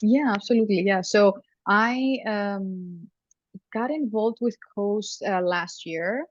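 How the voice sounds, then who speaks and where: A woman from Greece speaks in an easy, chatty way, often pausing on "um" and laughing lightly. Her voice is dry and close, but the sound is a little dull and lo-fi, like a video call. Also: mid-range voice, dry recording. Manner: warm, chatty, many ums and soft laughs. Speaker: family therapy professor, guest. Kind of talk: conversational interview.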